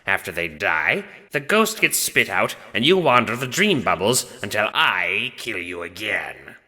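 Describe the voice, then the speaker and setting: Audio drama role: big dim lug of a death god played by a man, pitched up, swooping, bitter and crude, heavy reverb. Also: big pitch swings, a little slow, pushed and bright, heavily acted. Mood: scornful. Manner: blunt, slangy, casually profane.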